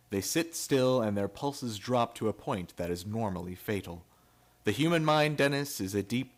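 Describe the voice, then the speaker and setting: A man's voice: on the low side, close to an everyday voice. An actor playing a grave, learned man who explains things theatrically in an old-time radio play. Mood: portentous.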